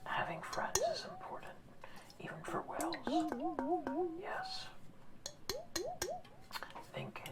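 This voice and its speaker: A woman's voice: very high-pitched, wildly swooping pitch, full character voice. Woman whispering as an old kindly orca. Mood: gentle whisper. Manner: soft whisper, slow and reassuring. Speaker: ASMR roleplayer. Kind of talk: ASMR roleplay.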